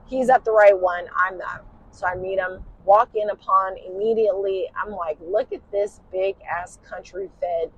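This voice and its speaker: A tired woman with a husky, smoky voice tells a personal story in casual chat while driving in a car at night. She rambles and uses lots of "you know" fillers. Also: medium pitch, fairly even intonation, moderate pace, highs cut off, a little hiss or hum. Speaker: podcast host.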